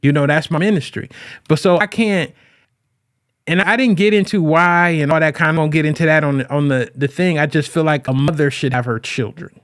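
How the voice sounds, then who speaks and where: A man hosting a podcast, talking casually as a relationship coach, animated and often restarting his phrases. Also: on the high side, brisk, no echo, clean studio sound. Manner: animated, quotes people in raised voices. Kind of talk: casual monologue.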